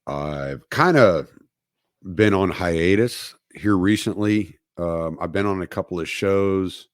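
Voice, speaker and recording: A man hosting his own podcast, talking alone on a livestream in a halting way with many fillers and restarts, recovering from dental work. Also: sits low, no room sound, clean studio sound. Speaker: podcast host. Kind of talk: solo livestream talk.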